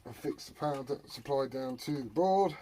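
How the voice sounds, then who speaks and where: British male hobbyist, mid-pitched, jokey and foul-mouthed, chatting loosely to viewers and himself; noisy, clicky workbench recording. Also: lively intonation, normal speed, mellow. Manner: sweary, jokey muttering to himself. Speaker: hobbyist repairer presenting a video. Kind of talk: rambling casual workbench chat to viewers.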